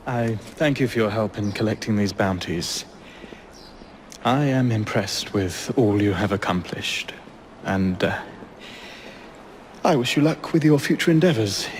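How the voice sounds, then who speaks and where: An actor playing a dry, discreet police sergeant in a video game, formal and clipped. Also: male voice, on the low side, expressive, a little slow, pauses often, a little hiss or hum. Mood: formal gratitude. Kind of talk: video game cutscene acting.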